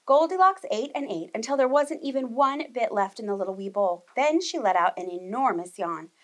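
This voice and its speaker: Casting: female preschool storyteller, high voice, highly animated, speaking directly to young listeners. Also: normal speed. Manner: expressive, addresses young children with questions and explanations. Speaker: children's storyteller, preschool teacher. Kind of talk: animated storybook read-aloud.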